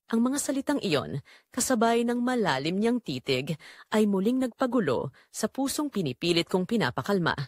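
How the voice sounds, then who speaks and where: Female audiobook narrator for romance drama. Lively, varied pitch, measured emotive delivery, very dry recording. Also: no echo. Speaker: audiobook narrator reading a first-person romance story.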